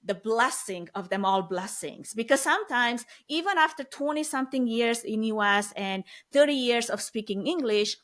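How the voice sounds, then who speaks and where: A woman's voice: a little high, moderate pace, few pauses. A woman in her forties with a Romanian accent, a non-native English speaker talking animatedly on a video call as an interview guest. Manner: animated, talks with her hands, uneven tempo. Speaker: guest, AV production business owner. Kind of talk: casual interview chat. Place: home office with lit bookshelves.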